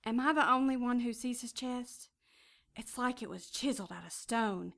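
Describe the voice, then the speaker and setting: Cheeky flirt with creaky voice. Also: female voice, on the high side, normal speed, fairly bright tone. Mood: flirty, swooning. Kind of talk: audio drama acting.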